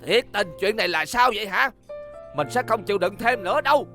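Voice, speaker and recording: An actor playing an exasperated, impulsive young racing teammate in a dubbed animated series, brash and scolding. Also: medium pitch, brisk, over music, close to an everyday voice.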